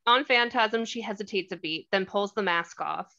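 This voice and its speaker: Bright-voiced woman narrating, dull lo-fi sound. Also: mid-range voice, moderate pace, creaky. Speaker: stage directions narrator. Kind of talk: scripted narration at a table read.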